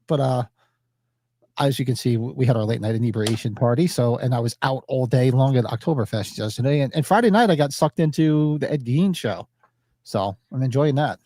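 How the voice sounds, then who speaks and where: A man's voice: medium pitch, brisk, mellow, no room sound. A man co-hosting a casual horror podcast, sniffly from allergies, rambling with plenty of fillers. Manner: rambling, laid-back, lots of fillers. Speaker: podcast co-host.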